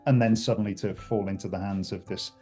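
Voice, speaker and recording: A man's voice: lowish voice, fairly even intonation, quick pace, warm tone, soft background music. A middle-aged man from the UK with a British accent, talking reflectively as a podcast guest, with many fillers and restarts. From United Kingdom. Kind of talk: conversational interview.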